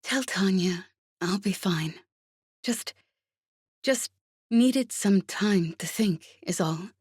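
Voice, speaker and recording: A heartbroken woman pilot, played by a female narrator, speaks in a listless, subdued way. It is slow audiobook dialogue, recorded dry and close. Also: mid-range voice, darkish tone, slightly rough, no echo.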